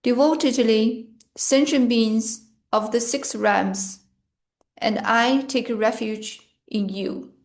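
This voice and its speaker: A woman reading a scripted guided meditation in short, measured phrases with pauses between them. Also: fairly high pitch.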